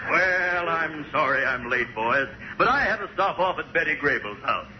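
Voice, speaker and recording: An actor playing a stern, theatrical Santa Claus who scolds in drawn-out phrases, in a 1940s radio comedy. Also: male voice, on the high side, expressive, close to an everyday voice. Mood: jolly. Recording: noisy, muffled 1940s radio recording.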